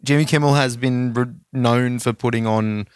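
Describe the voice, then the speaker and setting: A man's voice: mid-range voice, normal speed, clean tone, no room sound, studio quality. A man co-hosting a podcast, chatting casually and rambling with lots of fillers. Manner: rambling, filler-heavy, relaxed. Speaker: podcast co-host.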